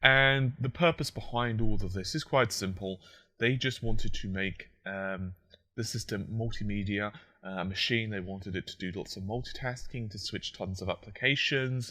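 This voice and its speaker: A man with a croaky, just-woken voice, explaining technology in a solo monologue, with fillers and self-corrections. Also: on the low side, pushed and bright. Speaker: tech commentator.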